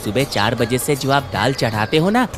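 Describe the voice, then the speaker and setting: Cartoon story role, male actor: loyal village kitchen hand, simple and expressive, music behind. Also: medium pitch, moderate pace, lightly acted. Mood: admiring. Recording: music under the voice.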